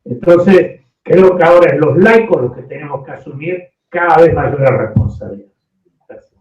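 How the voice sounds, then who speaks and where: A man giving earnest, emphatic and deliberate remarks as a panel participant. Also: fairly high pitch, lively intonation, darkish tone. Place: echoey indoor room at panel event. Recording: echoey room, distant mic, dull sound.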